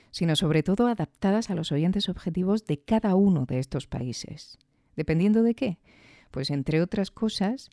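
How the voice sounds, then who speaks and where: A woman's voice: fairly low pitch, mellow, no room sound, clean studio sound. A woman hosting a podcast, giving reflective commentary with rhetorical questions and emphatic repetition. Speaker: podcast host. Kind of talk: reflective commentary on audio fiction.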